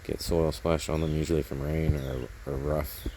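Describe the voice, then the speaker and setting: A man's voice: a bass voice, little pitch movement, normal speed, mellow, a little hiss or hum. A man casually narrating hands-on garden work outdoors, rambling and thinking aloud as he goes, recorded on a handheld camera. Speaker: gardening vlogger.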